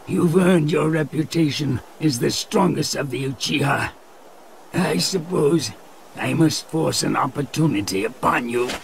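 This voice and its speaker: An actor playing a ruthless, scheming old ninja elder, measured and menacing, in an anime game dub. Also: male voice, on the high side, gravelly, noisy background. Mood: coldly determined. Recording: music behind the voice.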